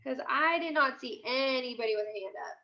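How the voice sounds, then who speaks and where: A woman who is a teacher reading a children's chapter book aloud in an animated, dramatic way. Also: sits high, brisk, muffled recording.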